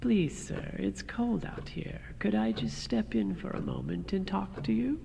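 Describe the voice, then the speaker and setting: A woman playing a meek, absurdly polite male burglar in a radio comedy, creaky-voiced and apologetic. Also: mid-range voice, expressive, measured pace, warm tone. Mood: meek, pleading. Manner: apologetic, deferential. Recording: reverberant hall, faint background noise.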